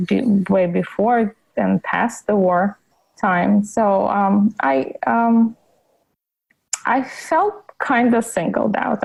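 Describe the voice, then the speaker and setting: A woman's voice: mid-range voice, creaky, darkish tone, low-quality recording. A middle-aged woman from Ukraine with a Russian accent, a podcast guest on a video call who talks hesitantly with many fillers and restarts. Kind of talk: interview over video call.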